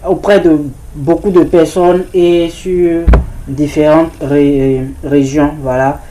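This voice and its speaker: A woman's voice: sits low, little pitch movement, slow pace, mellow, slight background noise, low-quality recording. A woman giving an informal spoken presentation of a service, hesitant, with frequent restarts and filler words. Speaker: presenter of a crypto ambassador training service.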